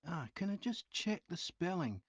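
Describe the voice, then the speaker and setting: An actor playing a polite train company representative asking survey questions in a scripted listening-test dialogue, clearly enunciated. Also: medium pitch, sing-song, moderate pace, no echo. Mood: polite, inquiring.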